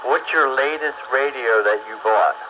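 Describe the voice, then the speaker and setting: Over a noisy, muffled link, a man chats casually. His delivery is halting and folksy, in a medium-pitched voice. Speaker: participant in a hobby radio round-table. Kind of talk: casual chat. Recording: muffled radio link with clear noise.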